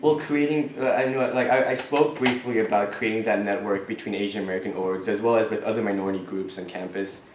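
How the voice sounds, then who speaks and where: Un jeune homme venu de Thaïlande répond aux questions d'une élection étudiante. Sa voix est assez grave et il parle avec sérieux, en hésitant et en reprenant ses phrases. La salle de classe résonne nettement et un léger bruit de fond se fait entendre. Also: male voice, fairly low pitch, steady tone, a little hiss or hum. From Thailand. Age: young adult. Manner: earnest, halting, many restarts and fillers. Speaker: student board candidate. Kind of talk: answering questions at an election forum. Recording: echoey classroom.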